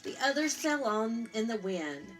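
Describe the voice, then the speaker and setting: A woman reading a picture book aloud to young children in an expressive, explanatory teacher's manner. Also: on the high side, soft background music. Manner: expressive, pausing to explain and pose simple questions. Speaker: storytime reader, teacher.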